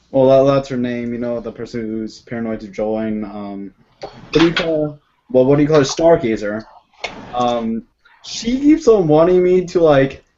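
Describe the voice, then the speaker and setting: A man co-hosting a casual fan chat, stammering and hesitant, at a mid pitch. The lo-fi recording carries a faint hiss. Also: dark tone. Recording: lo-fi audio with faint noise.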